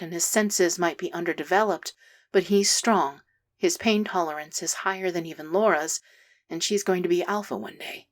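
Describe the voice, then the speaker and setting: A woman narrating a fan fiction story aloud in an even, measured way. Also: fairly low pitch. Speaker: podfic reader.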